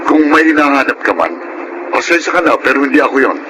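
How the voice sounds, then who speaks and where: A mid-pitched male voice from an actor plays an evasive father in a radio drama. He is hesitant and apologetic, heard over clear noise. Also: very animated, fast talker, lightly acted. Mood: evasive, flustered. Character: evasive, embarrassed father denying his daughter. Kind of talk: Filipino radio drama acting. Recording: noisy radio drama audio.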